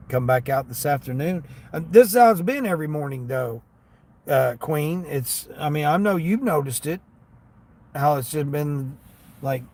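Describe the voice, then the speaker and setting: A man with a slightly Southern American accent chats casually and ramblingly to livestream viewers in a folksy way. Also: a little high. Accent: American with a Southern tinge. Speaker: livestream host, gig delivery driver. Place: inside a parked vehicle. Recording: steady vehicle and ambient noise.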